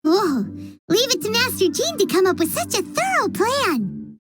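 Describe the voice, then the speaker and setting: A woman voicing a tiny, chirpy female game companion in a high, squeaky, childlike voice that blurts out reactions. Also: big pitch swings, measured pace, music in the background, full character voice. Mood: excited. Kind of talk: video game voice acting.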